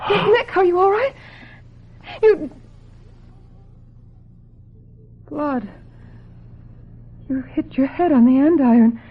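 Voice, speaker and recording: A woman playing a distraught, guilt-ridden film actress in an old-time radio drama, halting and melodramatic. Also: high-pitched, sing-song, lo-fi sound, a little hiss or hum, heavily acted. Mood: panicked, horrified.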